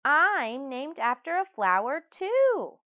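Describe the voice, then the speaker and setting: A woman voicing a kindly, poised music teacher in a children's story, speaking with gentle, measured emphasis. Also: sits high, sing-song, no echo, muffled recording, voice pushed up, heavily acted.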